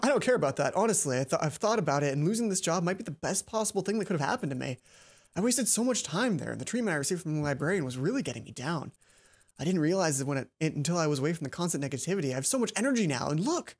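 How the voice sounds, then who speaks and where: A woman voicing an excited teenage boy inventor in a visual novel, eager, earnest and gushing. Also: deep voice, rapid-fire, husky, heavily acted.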